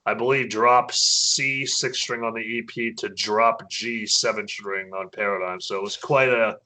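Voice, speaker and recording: A man, a metal band's vocalist, chatting casually as an interview guest, often halting and restarting his sentences. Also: steady tone, bright, forceful tone. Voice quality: naturally low voice. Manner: hesitant, many restarts and fillers.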